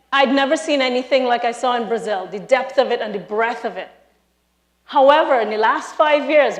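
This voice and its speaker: A woman from Trinidad with a Trinidadian accent, a lecturer giving a lively, emphatic live talk to an audience in a large hall. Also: a little high. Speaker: speaker, university lecturer.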